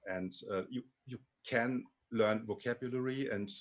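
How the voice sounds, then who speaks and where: A man from Germany with a German accent, a guest in a casual interview about learning languages, talking haltingly with many restarts and repeated words. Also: mid-range voice, dull audio.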